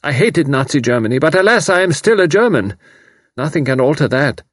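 A man's voice: on the high side, big pitch swings, fairly quick, no room sound, clean studio sound, pitched above the performer's own voice. A man voicing an anguished, bitter young German refugee scientist who pleads with intensity.

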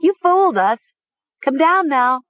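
A woman voicing a frantic young woman in denial, shrill, pleading and insistent, in an audiobook. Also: wildly swooping pitch, measured pace, husky, phone-quality sound, exaggerated voice. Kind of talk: audiobook character acting.

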